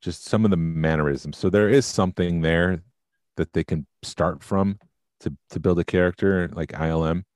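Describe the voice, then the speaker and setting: A man co-hosting a podcast, chatting casually and thinking out loud about films. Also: deep voice, steady tone, normal speed, no room sound, clean studio sound. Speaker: podcast co-host.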